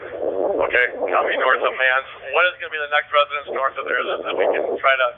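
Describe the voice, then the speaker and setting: A man talks quickly over a narrow police radio channel. He is an officer in the field, and faint noise runs through the transmission. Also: mid-range voice.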